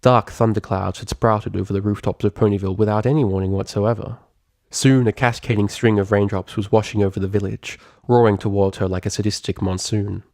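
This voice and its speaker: Deep-voiced man narrating a story, dry sound. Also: steady tone, a little fast, darkish tone, no room sound. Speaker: audiobook reader of fan fiction. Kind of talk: expressive scripted story narration.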